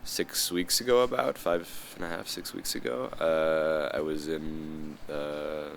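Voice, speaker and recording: Very deep-voiced man in his thirties. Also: fairly even intonation, unhurried, vocal fry, a little hiss or hum. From United States. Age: 30s. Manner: hesitant, many fillers. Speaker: volunteer interviewee. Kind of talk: interview answers.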